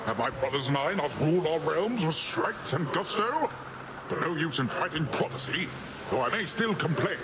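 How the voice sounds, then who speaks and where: Male actor playing a boisterous sea god, higher-pitched, pompous, theatrical, video game, very loud background noise. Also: lively intonation, normal speed, lightly acted. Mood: pompous, griping. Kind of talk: scripted video game character acting.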